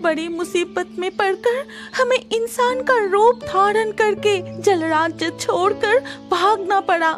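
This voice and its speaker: A woman's voice: extremely high pitch, slow, hardly any pauses, soft background music. A woman playing a young, anxious mermaid princess in a children's cartoon, speaking earnestly.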